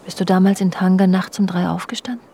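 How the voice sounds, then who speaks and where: A confiding, worldly wife, lowish voice. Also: female voice, steady tone, fast, vocal fry, dark tone, husky. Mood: wistful, reflective. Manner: literary, confiding, addressing her husband directly. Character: worldly wife recalling a restless, jealous marriage. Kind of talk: German radio drama, intimate narration and dialogue. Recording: music behind the voice. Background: music.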